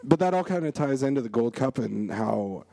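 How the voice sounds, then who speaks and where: A man co-hosting a casual chat, rambling and restarting his sentences, with a voice going hoarse. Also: medium pitch, lively intonation, moderate pace, mellow, no room sound. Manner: rambling, self-interrupting, laughs often. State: losing his voice. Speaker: podcast co-host.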